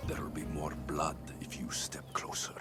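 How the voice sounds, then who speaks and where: An actor playing a cynical young mercenary in a dramatic video game, with a blunt, sardonic manner. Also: male voice, rumbling low, completely flat delivery, moderate pace, whispered, over music. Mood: suspicious, guarded. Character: gruff, cynical young male Greek mercenary.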